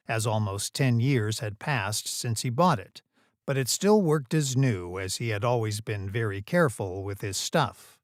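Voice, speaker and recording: A man's voice: mid-range voice, no room sound, studio quality. A man reading scripted third-person story narration as the narrator.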